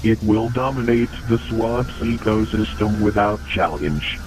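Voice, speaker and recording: A lowish-voiced, grave emergency announcer played by a man delivers a scripted horror news bulletin. The delivery is formal, measured and deadpan. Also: a little hiss or hum, lightly acted.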